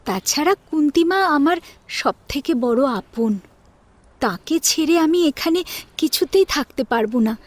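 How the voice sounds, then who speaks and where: A woman playing a self-respecting, quietly wounded young woman who is polite but pointed with her family in an audio story. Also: high voice, animated, a little slow, no echo. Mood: polite firmness. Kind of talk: Bengali audio story acting.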